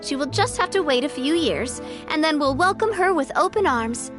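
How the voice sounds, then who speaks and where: A woman's voice: high voice, animated, moderate pace, over music. A woman voicing a confident young female gang leader in a video game, speaking in a poised, gracious way.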